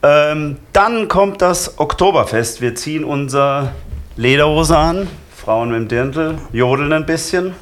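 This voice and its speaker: A man's voice: fairly high pitch, expressive, a little slow. A middle-aged man from Franconia, a carnival club member, talking casually with many fillers. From Lower Franconia, Germany. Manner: rambling, hesitant with many fillers. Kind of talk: casual chat.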